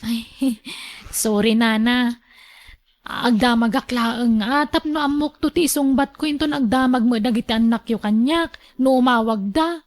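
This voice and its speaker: A woman's voice: on the high side, steady tone, unhurried, natural voice. A woman with a Filipino accent playing a polite, inquisitive young household helper in an Ilocano radio drama. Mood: apologetic.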